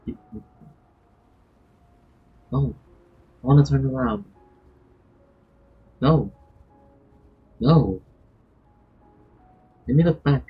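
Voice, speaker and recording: A man's voice: medium pitch, lively intonation, extremely slow, long pauses, breathy edge. A man live-streaming a video game, reacting casually in short exclamations. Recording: dull, muffled audio with game music. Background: game music.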